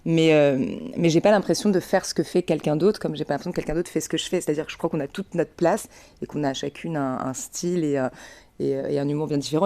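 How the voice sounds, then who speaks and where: Very fast, halting young woman. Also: deep voice, dark tone. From Paris region, France. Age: young adult. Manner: hesitant, self-correcting, stumbling over repeated words. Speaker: comedian, interview guest. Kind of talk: casual spontaneous interview talk.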